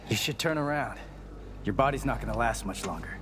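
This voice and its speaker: An actor playing a hot-headed, cocky young demon hunter in a video game, blunt and casual. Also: male voice, medium pitch, animated, brisk, noisy background, natural voice. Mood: urgent, exasperated. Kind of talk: video game cutscene acting. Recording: music behind.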